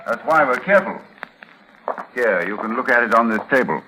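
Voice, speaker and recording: An actor playing a learned, troubled university librarian, narrating gravely in a cultivated 1940s radio drama manner. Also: male voice, mid-range voice, animated, fairly quick, noisy background, natural voice. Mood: guarded, curt. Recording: old 1940s radio broadcast recording.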